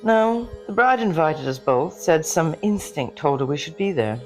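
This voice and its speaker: A man voicing a brisk, skeptical witch grandmother in audio fiction, professional and matter-of-fact. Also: fairly high pitch, expressive, faint music behind, pitched above the performer's own voice, natural voice, rough recording. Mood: brisk, assured. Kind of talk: audio fiction character dialogue.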